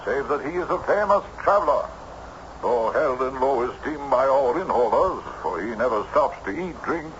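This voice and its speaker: Male actor as talkative rustic tale-teller, old-fashioned deliberate phrasing, very lively intonation, muffled vintage radio sound. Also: medium pitch, close to an everyday voice. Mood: ominous, confiding. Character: loquacious male country teller of eerie tales, weary traveler. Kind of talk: vintage radio drama acting. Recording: noisy band-limited old radio recording.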